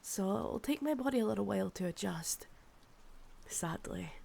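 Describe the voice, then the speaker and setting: A Scottish woman voicing an ancient, insatiable female vampire in an ASMR roleplay, coaxing and sighing, breathy and often dropping to a whisper. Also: medium pitch, lively intonation, normal speed, mellow. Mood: soothing, weary.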